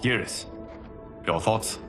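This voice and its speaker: An actor playing a calm male protector who leads his allies and speaks in a composed, earnest way in a game cutscene. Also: slow pace, close to an everyday voice. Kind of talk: video game cutscene acting. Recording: game audio with music bed.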